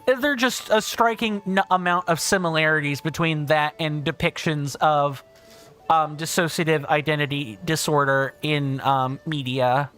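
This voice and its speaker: A man livestreaming a game, chatting casually and reading out viewer comments, with a big booming voice. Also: fairly even intonation, normal speed, a bit bright, clean tone, soft background music. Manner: chatty, thinks aloud, reads viewer comments. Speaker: streamer. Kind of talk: casual gameplay livestream chat.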